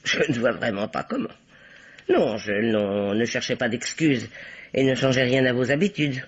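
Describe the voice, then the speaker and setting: An actress playing a bossy, sharp-tongued elderly spinster in a radio drama, with an imperious, scolding way of talking. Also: female voice, extremely low pitch, expressive, moderate pace, breathy edge, heavily acted. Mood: curt, haughty. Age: older. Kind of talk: old French radio drama acting. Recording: dull, band-limited vintage radio recording.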